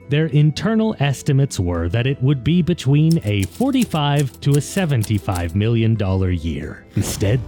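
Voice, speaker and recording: Man narrating with lively, swooping pitch. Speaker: narrator of a video essay on game history. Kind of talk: scripted documentary narration.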